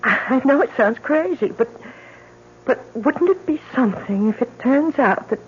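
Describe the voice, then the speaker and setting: A woman's voice: high-pitched, animated, measured pace. A woman acting in an old-time radio drama as a frightened, haunted young daughter. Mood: reflective, relieved. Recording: dull old radio recording, faint noise.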